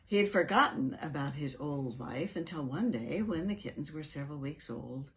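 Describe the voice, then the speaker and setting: A woman's voice: deep voice, animated, normal speed, a bit bright, poor audio. A woman reading a children's picture book aloud, expressively, stressing key words and adding asides as storyteller. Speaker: storyteller, reading organisation's founder.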